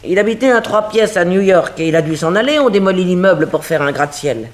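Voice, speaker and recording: An actor playing a flustered, gossipy household servant in a 1960s French radio drama, hesitant, stammering and colloquial. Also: male voice, sits high, animated, fast talker. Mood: chatty.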